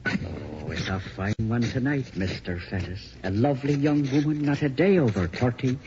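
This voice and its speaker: A man's voice: medium pitch, moderate pace, natural voice. An actor playing a fawning male body snatcher in a radio drama, wheedling and evasive. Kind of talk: 1980s radio drama acting. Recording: dull old radio broadcast with noise.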